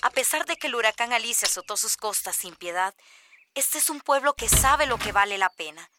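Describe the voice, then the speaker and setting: A woman's voice: fairly high pitch, animated, brisk, close to an everyday voice. An actress playing an earnest woman radio reporter narrating a scripted report. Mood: solemn, uplifting. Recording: noisy taped report with clicks.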